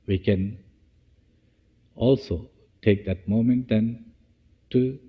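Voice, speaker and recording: A man leading a guided meditation as a teacher, speaking in halting short phrases and restarting as he goes. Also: low-pitched, slightly rough. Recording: dull, echoey hall-like sound.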